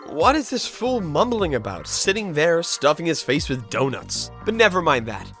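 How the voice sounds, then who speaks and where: A man playing a wry, kindly ghost detective with an expressive delivery in a game fandub. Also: fairly high pitch, moderate pace, faint music behind. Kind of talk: animated game fandub acting.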